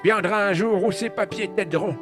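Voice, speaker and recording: A performer playing a gentle, solemn grandfather who explains patiently and earnestly in an audio drama. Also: mid-range voice, normal speed, natural voice. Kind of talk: French audio drama acting. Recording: music behind the voice.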